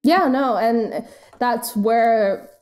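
American woman, podcast co-host, mellow tone, informal conversational delivery. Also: a little high, extremely slow. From United States. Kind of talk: casual podcast chat.

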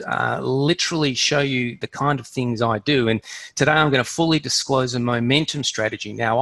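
A man's voice: medium pitch, no echo. Middle-aged Australian man presenting a webinar. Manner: relaxed, conversational, slightly hesitant with fillers. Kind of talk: online presentation.

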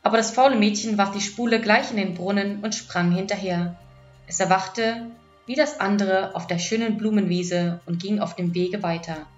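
A woman's voice: medium pitch, fairly even intonation, normal speed. Female audiobook narrator for children's fairy tales; roomy, lo-fi sound with music underneath. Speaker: audiobook storyteller. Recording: echoey, dull lo-fi, music behind.

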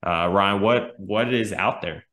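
Bright-voiced man on a video call. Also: lowish voice, a little slow, roomy sound. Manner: thoughtful, many restarts and fillers. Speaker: strength coach, podcast co-host. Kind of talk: casual conversational explanation. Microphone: video call webcam.

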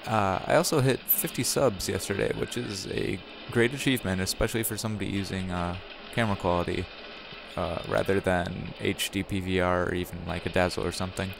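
Low, gravelly young man narrating gameplay. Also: steady tone, brisk, background noise. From Wisconsin, USA. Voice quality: raspy. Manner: rambling, self-correcting, chatty. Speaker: gaming channel host. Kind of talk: casual gameplay commentary. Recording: music playing behind the voice.

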